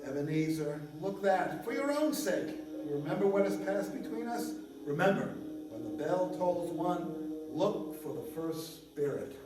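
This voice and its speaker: A man's voice: sits high, sing-song, a little slow, over music. Dead businessman's ghost, mournful warnings, radio-play declamation, very echoey, distant from the mic. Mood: grave warning. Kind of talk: stage radio-play acting. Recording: very echoey room, far from the mic.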